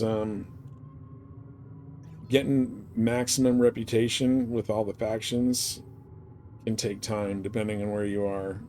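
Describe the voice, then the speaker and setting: A man's voice: lowish voice, a little mellow, a little hiss or hum. A man livestreaming a space game, chatting casually and rambling informally as he thinks aloud. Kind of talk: casual chat while playing a space game.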